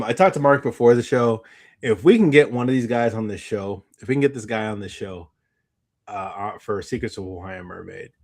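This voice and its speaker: A tired man hosting a podcast, chatting casually in a rambling way and often backtracking mid-sentence. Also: fairly low pitch. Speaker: podcast host. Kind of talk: casual chat.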